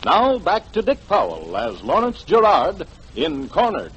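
A male announcer from 1940s radio delivers a promotion. His voice is high and swings widely in pitch. The old recording is muffled and dull. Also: wildly swooping pitch, unhurried.

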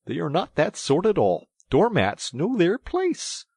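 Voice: mid-range voice, huge pitch swings, unhurried, a little mellow, slightly rough, dry recording, studio quality, pitched above the performer's own voice, heavily acted. A narrator voicing a grumpy, injured mole from a storybook, plaintive, complaining and stubbornly literal, with emphatic delivery. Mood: pettish, indignant. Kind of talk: animated storybook character reading.

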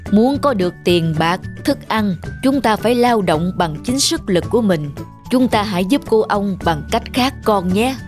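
An actor playing a gentle old male bear wizard mentor in a children's cartoon, speaking patiently and earnestly. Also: medium pitch, animated, normal speed, music in the background, close to an everyday voice. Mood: concerned, kindly. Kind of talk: dubbed children's moral cartoon.

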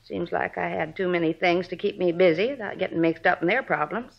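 An American actress playing a gossipy, plain-spoken middle-aged married neighbor in a 1950s radio drama. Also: female voice, lowish voice, brisk, vocal fry. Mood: gossipy, suspicious. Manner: folksy, colloquial, gossipy. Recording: dull vintage radio recording.

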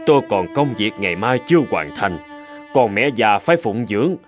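Casting: earnest man of an old cartoon fable, declamatory, very lively intonation, music underneath. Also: fairly low pitch, moderate pace. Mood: reflective. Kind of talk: animated folk tale dub. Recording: music behind the voice.

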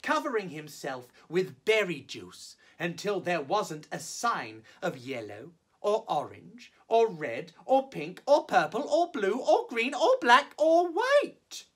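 A man performing as a drag storyteller, reading a children's picture book theatrically with exaggerated emphasis and drawn-out words. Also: sits high, very animated, a bit bright, breathy edge. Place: small indoor set, on camera.

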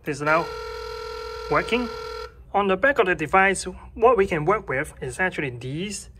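A man's voice: high-pitched, lively intonation, normal speed, sharp tone, a little hiss or hum. A man in his thirties presenting a casual, hands-on tech tutorial and explaining it step by step.

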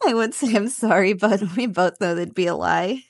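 A woman's voice: mid-range voice, creaky, no room sound, clean studio sound. A woman playing a playful, teasing slime girl roommate in a casual, chatty ASMR roleplay. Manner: teasing, chatty, conversational with chuckles. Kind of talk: ASMR roleplay, one-sided casual conversation.

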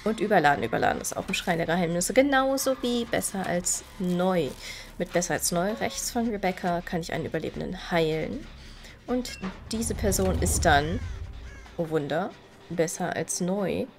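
A woman's voice: on the low side, lively intonation, normal speed, gravelly, soft background music. A woman with a stuffy, slightly nasal voice from a cold, chatting casually while she plays and explains game mechanics. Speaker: gaming video creator. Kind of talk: casual gameplay commentary.

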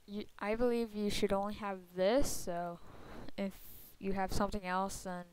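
A young woman with a soft voice giving a casual computer tutorial, chatty and full of fillers. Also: medium pitch, fairly even intonation, moderate pace, a little hiss or hum, poor audio. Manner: soft-spoken. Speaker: tutorial maker. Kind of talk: screen-recorded computer tutorial. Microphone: computer microphone.

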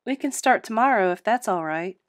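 A woman voicing a flustered but firm middle-aged female innkeeper in a storybook, brisk and emphatic. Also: medium pitch, lively intonation, no room sound, clean studio sound, pitched above the performer's own voice, lightly acted. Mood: brisk, polite.

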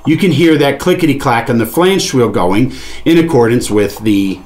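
Middle-pitched man giving step-by-step instruction. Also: animated, normal speed, a little mellow. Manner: explanatory, step by step. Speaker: product demonstrator. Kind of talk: instructional product demonstration. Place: small indoor studio with padded panel wall, seated at a table.

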